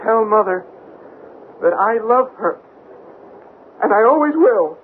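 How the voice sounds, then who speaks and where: An actor playing the mournful ghost of a young man, speaking haltingly in a radio drama. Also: high-pitched, expressive, phone-quality sound. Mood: mournful, otherworldly. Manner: slow, drawn-out, halting. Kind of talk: 1970s radio drama acting. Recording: thin, band-limited old radio broadcast, faint noise.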